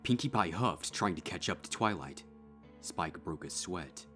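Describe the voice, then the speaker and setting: A man reading a dark horror story as a dramatic scripted narration. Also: deep voice, animated, a little slow. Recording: music behind.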